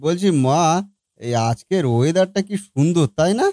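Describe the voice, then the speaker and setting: A man's voice: fairly high pitch, lively intonation, moderate pace, dark tone, dry recording, clean studio sound. A man playing a coaxing, food-loving grown son in a comic cartoon. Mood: coaxing, playful.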